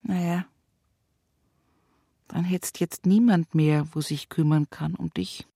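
A woman's voice: on the low side, steady tone, slow, gravelly, mellow, no echo, clean studio sound, heavily acted. An actress playing an old, toil-worn rural mother with an Upper Palatinate Bavarian accent and a cracked voice, resigned and earthy, in a radio play. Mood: wry regret. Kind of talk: dramatic radio play monologue.

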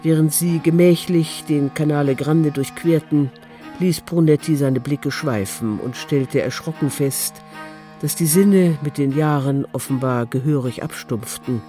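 Guy narrating an audio drama, mellow dark voice, faint music behind him. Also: fairly high pitch, unhurried. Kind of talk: scripted literary radio play narration.

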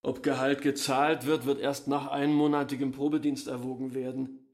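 An actor playing a pompous, grudging village schoolteacher in a literary radio drama, speaking in a pedantic, bureaucratic way. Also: male voice, mid-range voice, flat delivery, normal speed, no echo, clean studio sound, close to an everyday voice. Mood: officious. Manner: pedantic, self-important, bureaucratic. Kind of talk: formal dramatized literary dialogue.

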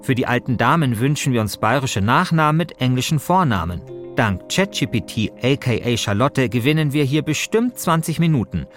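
A man's voice: mid-range voice, lively intonation, moderate pace, faint music behind. A man hosting a podcast, reading scripted narration in a conversational way. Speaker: host and narrator.

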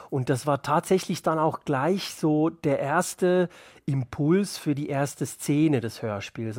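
Slightly high-voiced man, thoughtful. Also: darkish tone, no room sound, clean studio sound. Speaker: interview guest, playwright. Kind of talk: spontaneous, reflective interview answers.

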